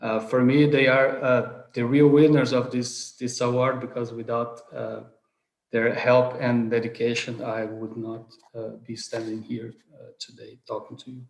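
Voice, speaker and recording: A man's voice: mid-range voice, level tone, a little slow, gravelly. A man in his thirties from southern Brazil, a non-native speaker giving an earnest online award lecture, full of hesitations and restarts. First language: Portuguese. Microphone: video call webcam. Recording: roomy video call audio.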